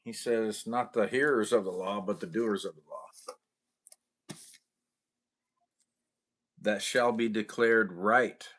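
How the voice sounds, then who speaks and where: A man's voice: lowish voice, steady tone, slow, long pauses, a bit bright. A man hosting a casual livestream, chatting with viewers in a laid-back, slangy way. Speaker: livestream host.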